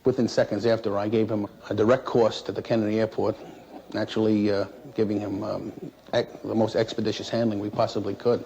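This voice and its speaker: A man who works as an air traffic controller recounts his experience in an interview in a halting, plain-spoken, matter-of-fact way. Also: on the low side, flat delivery, warm tone, muffled recording. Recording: noisy 1960s interview recording.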